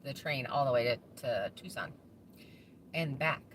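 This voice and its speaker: A woman's voice: rumbling low, animated, unhurried, a little hiss or hum. A woman hosting a casual live chat from her farm, rambling and laughing often as she answers viewer comments. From United States.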